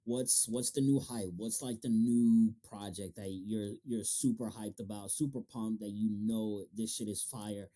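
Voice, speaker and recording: A man's voice: lowish voice, steady tone, a little slow, hardly any pauses, mellow. A man hosting a casual interview, talking in loose slang and swearing freely. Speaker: podcast interviewer and manga reviewer.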